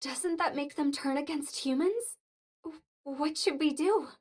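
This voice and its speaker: An actress voicing a small, curious, concerned child in an English anime game dub, innocent and inquisitive. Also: female voice, high voice, a little slow, darkish tone. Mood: worried. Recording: music behind the voice.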